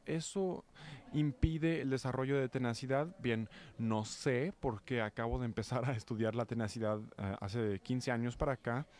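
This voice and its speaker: A man working as a live interpreter of a talk, phrasing haltingly and correcting himself. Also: medium pitch, darkish tone. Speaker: simultaneous interpreter.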